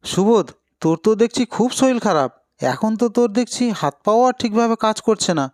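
A man's voice: high-pitched, lively intonation, a little fast, darkish tone, no echo, studio quality, pitched above the performer's own voice. A man voicing a concerned village friend in a cartoon story, talking in an anxious, familiar and urgent way. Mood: worried. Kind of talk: Bengali moral-story cartoon acting.

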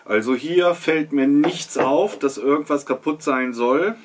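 A man's voice: fairly high pitch, a little slow, background noise. A man in his fifties giving casual unboxing commentary as a product reviewer, chatting and thinking aloud as he inspects things at a table in a small wood-panelled room.

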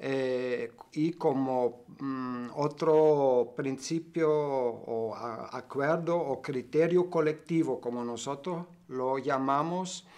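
An older man from Germany, seated indoors, slowly explaining his cooperative in an interview with many pauses and restarts. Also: medium pitch, a little mellow. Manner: slow, halting, many fillers and restarts. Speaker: cooperative member. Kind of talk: interview answers. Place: bright room by large windows.

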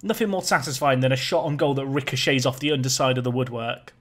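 A man giving chatty, joking live commentary over a football management game, his voice a little raspy today. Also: medium pitch, fast, a bit bright. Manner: chatty, joking, self-deprecating. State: slightly raspy voice. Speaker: gaming YouTuber.

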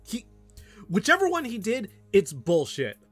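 An actor playing an excitable, anxious amateur investigator in an audio fiction podcast, speaking haltingly and emotionally. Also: medium pitch, faint music behind, full character voice. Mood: defensive.

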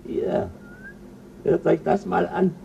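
An actor playing a crude, boastful male crewman on a spaceship, coarse and quick to flare up, in an old radio play. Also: high-pitched, little pitch movement, creaky, warm tone, far from the mic, lo-fi sound, slight background noise, exaggerated voice. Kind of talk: 1970s radio play acting.

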